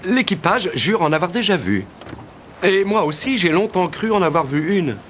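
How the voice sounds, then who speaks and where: An actor voicing an earnest, affectionate young prince in an animated fairy tale, with a tender, theatrical delivery. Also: male voice, sits high, normal speed, slight background noise. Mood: dismissive, wistful. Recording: music under the dialogue.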